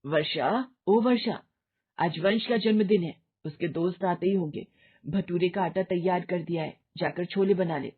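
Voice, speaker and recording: A woman's voice: lowish voice, moderate pace, slightly rough. A woman voicing a skeptical, scolding older mother-in-law in a cartoon moral story. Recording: muffled, narrow phone-like band.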